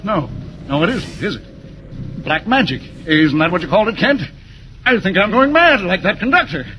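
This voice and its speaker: An actor playing a gruff, harried railroad superintendent in 1940s radio style, exclamatory and blustering. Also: male voice, high-pitched, big pitch swings, normal speed. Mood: bewildered. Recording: noisy old 1940s radio broadcast, vehicle noise.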